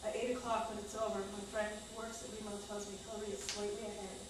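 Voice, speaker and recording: A woman's voice: mid-range voice, level tone, fast. A woman reading a scripted personal recollection aloud on a small stage. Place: small indoor theater stage. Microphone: far camera microphone. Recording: echoey, noisy, distant stage recording.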